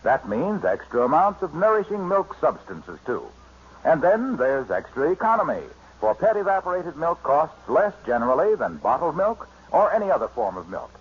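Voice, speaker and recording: Vintage American male announcer, scripted sponsor reads with lively melody and husky tone; dull 1950s broadcast sound. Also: on the high side, moderate pace. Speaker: radio announcer. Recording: muffled 1950s radio recording.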